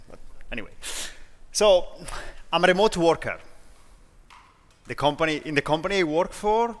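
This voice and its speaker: A man from Italy with an Italian accent, giving a conference talk in a self-deprecating, lively way with frequent restarts. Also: mid-range voice, big-room sound. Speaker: conference speaker. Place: large conference hall.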